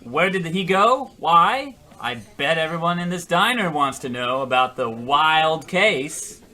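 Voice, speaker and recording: An actor playing a self-dramatizing, attention-hungry loser in a comic radio play, rambling and melodramatic. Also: male voice, on the high side, lively intonation, measured pace, sharp tone, heavily acted. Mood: hammy, eager. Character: attention-hungry, self-dramatizing male loser telling his story in a diner. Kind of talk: comic radio play acting in old radio show vein.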